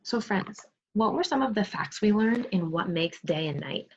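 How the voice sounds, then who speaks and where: A woman's voice: medium pitch, animated, normal speed. A woman teacher reading aloud and explaining to young children, asking questions and praising pupils as she goes. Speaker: second grade teacher. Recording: dull lo-fi sound.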